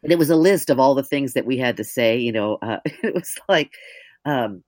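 Woman, deep voice, audiobook narrator, candid chat, very lively pitch, dry close sound. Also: normal speed, dry recording. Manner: thoughtful, self-mocking, animated storytelling.

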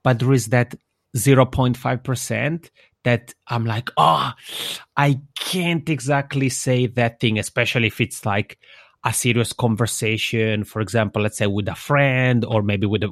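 A man with Italian as his mother tongue talks as an interview guest in a mid-pitched voice. He is thoughtful and explanatory, reworking his sentences as he goes. The sound is close and dry. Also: a little slow, no room sound. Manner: reflective, self-correcting, explanatory. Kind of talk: conversational interview answers.

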